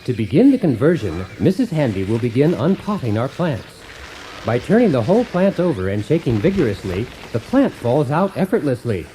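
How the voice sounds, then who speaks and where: A man plays a cheerfully oblivious husband presenting a DIY radio parody. He instructs with unflappable good cheer and a lively, swinging intonation at a middling pitch. The recording has clear noise behind the voice. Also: a little fast, close to an everyday voice, rough recording. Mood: instructive, cheerful. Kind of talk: scripted radio comedy parody of a how-to show.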